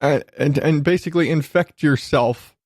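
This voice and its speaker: A man's voice: on the high side, moderate pace, darkish tone, dry recording, clean studio sound. A man hosting a solo podcast, talking casually and informally in a self-deprecating, sweary, rambling way. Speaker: podcast host.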